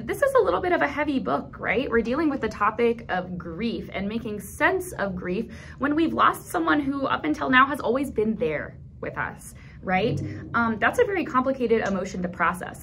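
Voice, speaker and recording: A woman in her thirties hosting a children's storytime, talking in an encouraging, animated, instructional way to toddlers and their grown-ups. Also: fairly high pitch, a little hiss or hum. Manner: animated, encouraging, simple clear phrasing for young children. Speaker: children's storytime host at a library. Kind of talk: instructional talk to toddlers and caregivers. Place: small indoor room.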